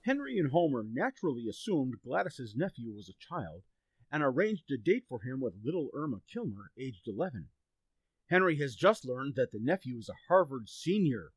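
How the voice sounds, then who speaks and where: Husky, animated man narrating radio theatre. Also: mid-range voice, normal speed, dry recording, poor audio. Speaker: story narrator. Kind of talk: old-time radio scripted narration.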